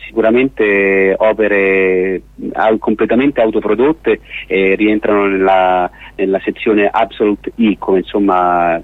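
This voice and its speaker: Low-voiced man in a dry studio. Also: husky, dry recording, phone-quality sound. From Rome, Italy. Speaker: festival organiser, radio guest. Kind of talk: radio interview, explaining an event.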